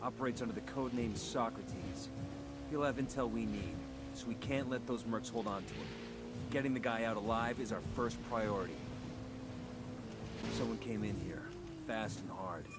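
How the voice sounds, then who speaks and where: A man playing a steady commando leader. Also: fairly low pitch, moderate pace, over loud music, close to an everyday voice. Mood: calm. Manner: clipped, matter-of-fact orders. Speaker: special forces team leader.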